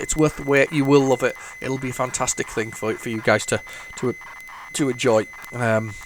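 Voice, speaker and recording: A man hosting a retro gaming video, talking casually while loading old tapes, with a head cold and a rambling, restart-filled way of talking that jumps into excited bursts. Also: mid-range voice, slight background noise, rough recording. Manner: rambling, chatty, lots of restarts and sudden excited outbursts. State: has a head cold, sniffly.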